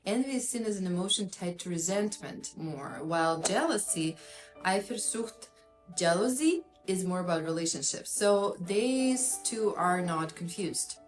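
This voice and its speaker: A woman's voice: on the low side, expressive, measured pace. A woman therapist with a Russian accent gives an earnest, explanatory talk, with frequent fillers. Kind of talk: educational talk to camera. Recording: echoey room with faint music.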